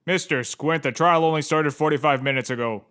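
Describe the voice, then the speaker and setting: A man's voice: fairly high pitch, brisk, fairly bright tone, natural voice. A man playing a stern, exasperated courtroom judge in a comedy audio drama, commanding and formal.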